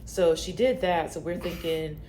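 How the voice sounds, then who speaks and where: A woman's voice: lowish voice, normal speed, warm tone, slight background noise, rough recording. A woman in her thirties co-hosting a podcast, telling a story in casual chat with many fillers. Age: 30s. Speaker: podcast co-host.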